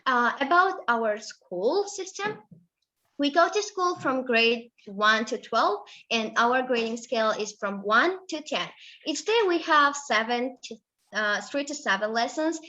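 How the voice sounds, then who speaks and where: A young woman from Georgia, just out of school, presenting her country in English with a Georgian accent, halting and full of fillers and self-corrections. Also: on the high side, expressive, pushed and bright, lo-fi sound. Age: late teens. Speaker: student presenter.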